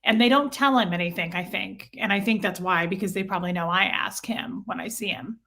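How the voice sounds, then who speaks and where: A woman's voice: mid-range voice, a little fast, creaky, sharp tone. A woman speaking as an interview guest, telling personal stories casually and earnestly, often acting out both sides of conversations.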